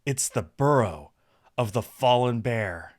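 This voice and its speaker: A man's voice: medium pitch, lively intonation, takes it slowly, a little mellow. A man voicing a cocky young cat adventurer. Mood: excited. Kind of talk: visual novel character read aloud. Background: music.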